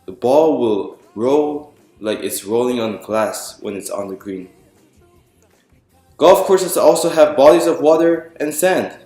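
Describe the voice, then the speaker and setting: A man reading a picture book aloud to an audience in a clear explanatory, presentational way, putting questions to the listeners. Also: medium pitch, expressive, slow pace, mellow, reverberant, soft background music. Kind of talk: storytime read-aloud to an audience.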